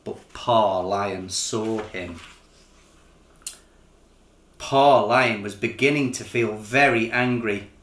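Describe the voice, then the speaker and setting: Slow-paced man reading a storybook, roomy sound. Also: fairly low pitch, fairly bright tone. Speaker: author-illustrator reading a picture book. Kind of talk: expressive children's storybook narration. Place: small living room, indoors. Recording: roomy living-room sound.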